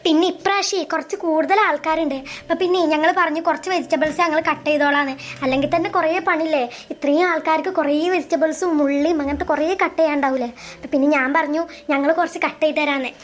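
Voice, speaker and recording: A woman's voice: sits high, fairly even intonation, quick pace, natural voice. A woman voicing a caring, bossy grown elder sister who fusses and chats insistently in a family cartoon. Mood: chatty, matter-of-fact. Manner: fussing, chatty, insistent. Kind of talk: Malayalam family cartoon dialogue.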